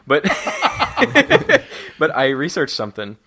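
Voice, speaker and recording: A man's voice: fairly high pitch, wildly swooping pitch, slow pace, a crowd in the background. A man chatting casually as a podcast guest, jokey and prone to giggling. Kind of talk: casual chat.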